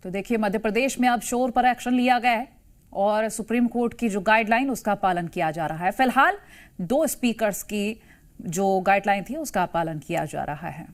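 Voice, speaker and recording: A woman's voice: medium pitch, moderate pace. A woman with an Indian accent presenting live television news as the anchor.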